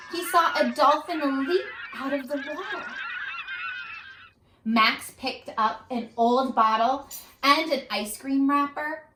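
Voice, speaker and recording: A woman reading a children's story aloud in an expressive, teacherly manner. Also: high-pitched, roomy sound, noisy background. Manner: expressive, asks the young listeners questions.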